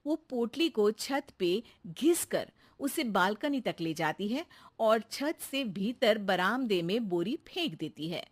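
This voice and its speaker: Animated woman narrating a comic story. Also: medium pitch, slow.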